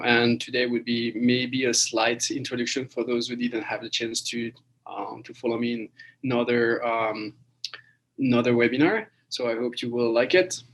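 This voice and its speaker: A man's voice: mid-range voice, rough recording. A man from France, giving a webinar lecture over a video call, with many fillers and restarts. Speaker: guest lecturer.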